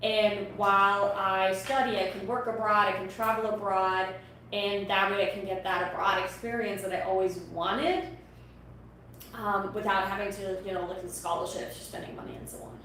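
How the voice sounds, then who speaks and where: A woman's voice: mid-range voice, steady tone, normal speed, fairly bright tone. Woman from Austria on a roomy distant mic. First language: German. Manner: earnest, repeats words while starting sentences. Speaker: guest. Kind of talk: casual interview talk. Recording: distant echoey mic with faint noise.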